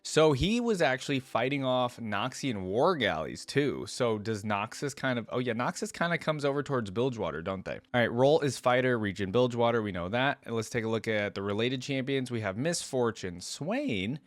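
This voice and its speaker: A man's voice: medium pitch, animated, normal speed, hardly any pauses, no echo. A man hosting a reaction video, moving between casual commentary and reading aloud in a conversational way.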